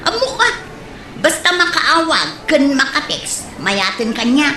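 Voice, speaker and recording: A woman's voice: sits high, sing-song, measured pace, sharp tone, a little hiss or hum, exaggerated voice. A woman playing a naive, stubborn, nagging wife in a comedy drama, with an Ilocano accent. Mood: suspicious. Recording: echoey room.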